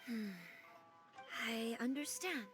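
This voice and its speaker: A woman playing a polite, anxious young noblewoman in an anime game, speaking in a formal, measured, hesitant way. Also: fairly high pitch. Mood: pensive, hesitant. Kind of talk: English-dubbed anime game voice acting. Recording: soft music behind the voice.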